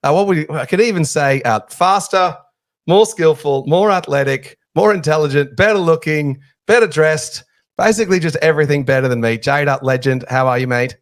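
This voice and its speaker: A man's voice: fairly high pitch, moderate pace, dry recording, studio quality. A man hosting a casual sports podcast, chatty and joking at his own expense. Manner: chatty, jokey, self-deprecating. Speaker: podcast host.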